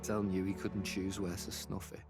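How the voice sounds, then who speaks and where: A man's voice: low voice, completely flat delivery, moderate pace, loud music behind the voice. A man playing a weary, plain-spoken young soldier in a video game, subdued and stoic. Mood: stoic grief. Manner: subdued, colloquial.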